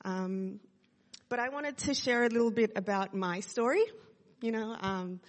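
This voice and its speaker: A woman's voice: fairly high pitch, a little slow. A woman in her thirties from Sydney with an Australian accent, sharing her testimony in a chatty way with many fillers. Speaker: church speaker sharing testimony. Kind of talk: casual testimony talk to a congregation. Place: church hall. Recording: long hall reverb.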